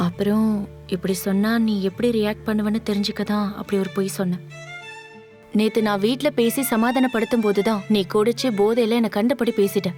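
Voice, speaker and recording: A woman's voice: mid-range voice, steady tone, a little fast, a little mellow, faint music behind, lightly acted. An actress playing a frank, reproachful young woman secretly in love, speaking directly and challengingly in conversational short-film dialogue. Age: young adult.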